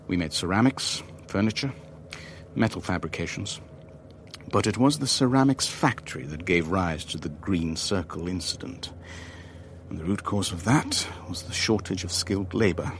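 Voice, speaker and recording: A man's voice: low-pitched, a little hiss or hum. An actor playing a worldly, wry Levantine businessman who narrates a radio drama in a dry, clipped way. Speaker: narrator and lead character. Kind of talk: radio drama first-person narration and dialogue.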